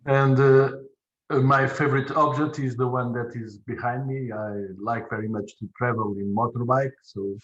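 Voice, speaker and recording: A man from Portugal speaks on a video call in a middle-pitched voice, with a few hesitations. The sound is dull and slightly muffled. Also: steady tone, normal speed, fairly bright tone. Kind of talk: introducing himself in a video meeting.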